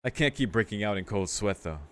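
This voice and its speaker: A man's voice: lowish voice, expressive, brisk, smooth. A man hosting a let's play, reading a visual novel aloud with informal, amused commentary. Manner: amused, sweary, informal asides.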